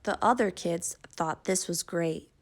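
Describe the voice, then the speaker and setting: A woman's voice: on the low side, a little slow. A woman storyteller reading a children's picture book aloud with expression.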